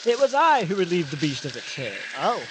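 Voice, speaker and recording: A dignified gentleman archaeologist with fussy, old-fashioned manners. He speaks precisely and rather pompously, with an animated delivery, in an old-time radio play with audible background noise. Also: high-pitched, fairly quick. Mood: dignified, composed. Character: Sebastian Scott, formal, fussy gentleman archaeologist.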